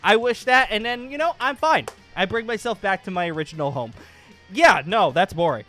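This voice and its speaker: A man with a high-pitched voice chats casually and excitedly as a YouTube gaming host. He rambles and circles back to repeat his phrases for emphasis, with music playing behind him. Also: normal speed. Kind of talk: casual excited vlog chat over gameplay.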